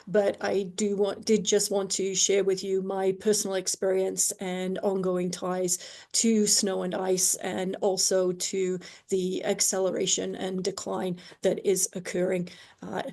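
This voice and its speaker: A woman moderating a panel on a video call, sharing a personal reflection in a measured way. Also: mid-range voice, vocal fry, warm tone. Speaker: moderator, host.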